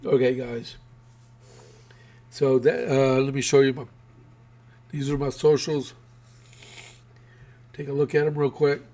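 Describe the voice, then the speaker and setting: A man's voice: mid-range voice, level tone, slow pace, pauses often, mellow. A man from Texas hosting his own political news show, talking casually with many fillers and restarts while losing his voice.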